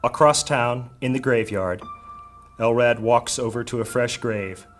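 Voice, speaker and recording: An actor playing a solemn storyteller, giving measured, scripted narration for a high fantasy audio drama. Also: male voice, faint music behind, natural voice. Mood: solemn, reflective.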